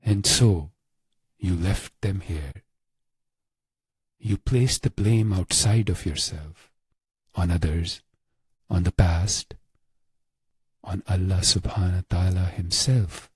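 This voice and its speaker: A man narrating a guided meditation in a hushed, measured way with long pauses. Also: sits low, husky, no room sound.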